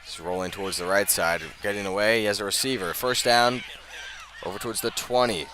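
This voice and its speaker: A man's voice: fairly low pitch, fairly even intonation, a little fast, noisy background. An American man doing live radio play-by-play of a football game in short, clipped phrases. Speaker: play-by-play commentator.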